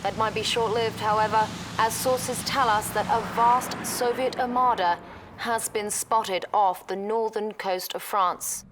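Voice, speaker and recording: An actress playing a serious war news reporter delivering a formal broadcast report in a video game. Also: female voice, on the high side, steady tone, measured pace. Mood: grave. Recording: loud ambience and vehicle noise behind.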